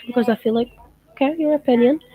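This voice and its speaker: A woman's voice: on the high side, husky, narrow, tinny audio. A young woman from Gaza whose first language is Arabic, chatting casually on a livestream and reading follower comments aloud. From Gaza, Palestine. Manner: thoughtful, unhurried, reads comments aloud. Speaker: livestream host answering followers.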